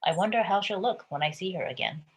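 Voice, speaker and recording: A woman from Taiwan, a picture book author, reading her book aloud gently and then chatting about it. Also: on the low side, expressive, fast talker, breathy edge, poor audio. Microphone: video call.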